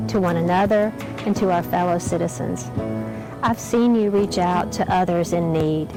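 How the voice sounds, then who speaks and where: A woman with a fairly high voice speaks as the president of a club. She delivers welcoming remarks and announcements in the manner of a meeting host, and music can clearly be heard in the recording. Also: rough recording.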